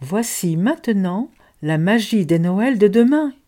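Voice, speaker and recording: A woman's voice: mid-range voice, lively intonation, a little slow, mellow, natural voice. A woman voicing a little magical mouse who makes solemn announcements in a storybook. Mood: announcing.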